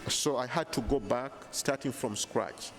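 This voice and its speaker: Earnest Ugandan man, measured delivery. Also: medium pitch, fairly bright tone, faint music behind. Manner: earnest, measured, frequent restarts. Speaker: interviewee. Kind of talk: casual interview. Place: bright hotel lounge indoors. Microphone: handheld lapel mic.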